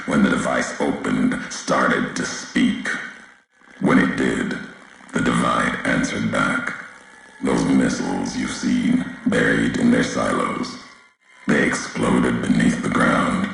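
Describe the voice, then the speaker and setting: Super deep slow brooding wasteland courier, grim and vengeful video game man, really echoey. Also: a bit bright, full character voice. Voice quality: very deep, gravelly. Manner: slow, grave, cryptic, clipped phrases. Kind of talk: dramatic video game monologue. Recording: heavily echoing, roomy sound.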